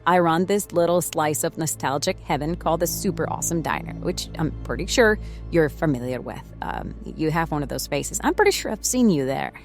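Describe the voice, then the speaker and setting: Weary, sarcastic diner owner, female, late forties played by an actress. Low register, music underneath, audio drama. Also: animated. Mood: bitter sarcasm. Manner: sarcastic, halting delivery. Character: sardonic, foul-mouthed, weary 47-year-old female diner owner. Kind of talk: horror audio drama acting.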